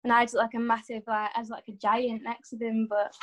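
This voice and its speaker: A woman's voice: fairly high pitch, level tone, a little fast, a bit bright. A young woman talking reflectively about herself, filling her speech with "like". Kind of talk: casual group chat.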